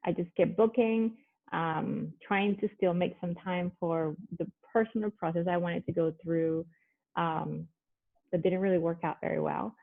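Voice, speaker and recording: A middle-aged woman from Colombia speaks English with a Colombian Spanish accent, her first language being Spanish. She chats casually with plenty of fillers and restarts, her voice sitting a little low. The audio is thin and phone-like, as over a call line. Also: fairly even intonation, a little slow, gravelly. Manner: conversational, many fillers and restarts. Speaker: guest, business owner. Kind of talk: casual video-call conversation.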